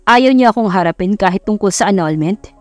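A woman's voice: medium pitch, animated, a little fast, a little mellow, dry recording, soft background music, natural voice. Woman acting a curt Filipino billionaire boss. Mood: distressed, demanding. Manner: curt, commanding, switches languages. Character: proud, demanding young billionaire boss, male, easily baffled.

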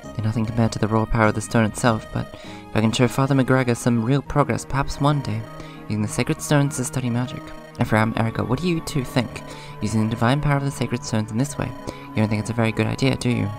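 A man hosts a casual let's play in a hoarse, subdued voice at an average pitch. He moves between rambling comments and reading on-screen dialogue. Music plays clearly behind him. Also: steady tone, fast, few pauses. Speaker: gaming video host. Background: video game music.

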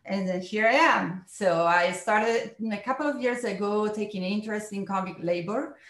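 A woman's voice: mid-range voice, moderate pace, a bit bright, reverberant. A middle-aged woman from Italy with an Italian accent, presenting her research on a video call with frequent hesitant fillers. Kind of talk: online panel presentation. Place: home room, indoors.

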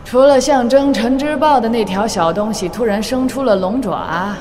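Woman doing a bossy old wuxia empress, regal and deliberate, echoey with music behind. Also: on the high side, a little mellow, lightly acted. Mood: cold, mocking. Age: older. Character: imperious, shrewd old empress of a wuxia kingdom. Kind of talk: Chinese audio drama acting. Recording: long reverb, music behind.

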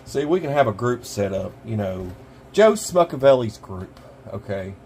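A man's voice: medium pitch, big pitch swings, moderate pace, darkish tone. Folksy American man over noisy background hum. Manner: folksy, rambling, repeats phrases for emphasis. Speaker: survival channel host. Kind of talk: casual product review to camera. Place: small cluttered indoor gear workshop. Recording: clear background noise with hum.

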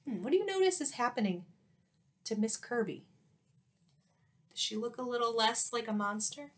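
A woman's voice: a little high, lively intonation, moderate pace. A woman in her thirties, a teacher, reading a picture book aloud expressively and explaining it to children. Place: small indoor room at home.

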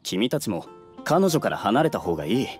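Curt, animated young townsman. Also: on the low side, moderate pace, over music. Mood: baffled, cautioning. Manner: curt, dismissive. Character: curt, baffled young male townsman, unfriendly. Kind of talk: Japanese game voice acting.

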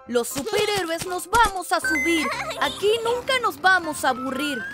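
A woman voicing an excitable young lion cub in a children's cartoon, speaking singsong rhymed lines with exclamations. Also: very high-pitched, normal speed. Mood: playful. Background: music.

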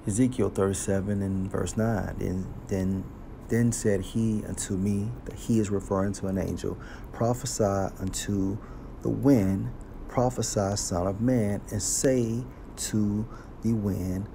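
A man teaching scripture, reading verses aloud slowly and explaining each one with a deliberate delivery. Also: fairly low pitch, steady tone, gravelly, dark tone, slight background noise. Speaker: Bible teacher.

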